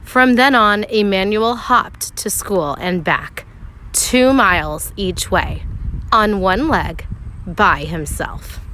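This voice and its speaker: A woman narrating a children's storybook aloud, expressively, with measured phrasing and dramatic pauses for emphasis. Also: mid-range voice, animated, sharp tone, slight background noise, poor audio. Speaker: teacher reading a picture book aloud.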